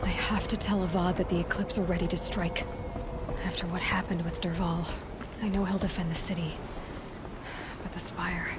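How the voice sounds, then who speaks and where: A woman's voice: lowish voice, little pitch movement, normal speed, close to an everyday voice. A woman playing a blunt, determined young warrior in a video game cutscene, earnest and dryly sarcastic. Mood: urgent.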